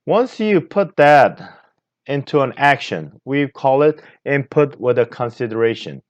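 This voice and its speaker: A man from Korea, a native Korean speaker with a Korean accent, giving an earnest leadership lecture as a martial arts master over slides, unhurried, pausing often. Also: medium pitch, expressive, muffled recording. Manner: earnest, unhurried, with frequent pauses and fillers.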